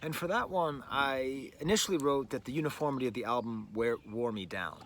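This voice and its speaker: A man's voice: medium pitch, brisk, a bit bright, a little hiss or hum. A middle-aged man hosting a casual album review, talking in a rambling, chatty way full of "like" and "you know", outdoors with birds nearby. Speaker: music reviewer, show host.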